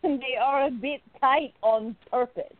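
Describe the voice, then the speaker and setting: An actress playing a playful, adoring, flirtatious woman in love in a radio play, with a teasing, excitable manner. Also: high-pitched, unhurried, no echo. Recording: narrow phone-like, dry sound.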